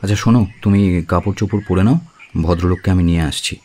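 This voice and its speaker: A man's voice: deep voice, fast talker, mellow. An actor playing a frank, wry young bachelor in a radio play, polite but teasing as he talks. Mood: brisk, persuasive. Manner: polite, teasing, hesitant openers.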